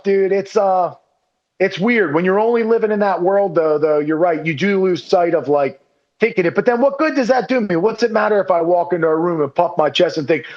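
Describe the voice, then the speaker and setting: A man talking loosely on a podcast, his voice sitting high for a man. He swears easily, leans on "dude" and "like," and laughs at himself as he rambles. The sound is dull and a little lo-fi. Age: under 40. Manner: swears freely, says "dude" and "like" constantly. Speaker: podcast guest. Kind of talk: casual conversational chat.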